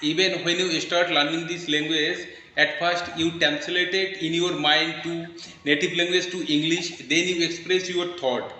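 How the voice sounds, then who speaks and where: Bright-voiced man in a very echoey room. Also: fairly high pitch, little pitch movement, moderate pace, a little hiss or hum. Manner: earnest, advice-giving, with restarts. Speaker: English learner in conversation. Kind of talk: casual practice conversation.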